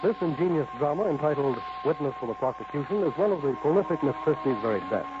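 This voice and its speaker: A man's voice: fairly high pitch, expressive, fast, noisy background, close to an everyday voice. Dull old-radio mystery host, played by a man. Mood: sly, formal. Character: sly, formal male host of a mystery playhouse. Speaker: radio drama announcer. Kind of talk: 1940s radio mystery announcing. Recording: dull, lo-fi old radio recording, music behind.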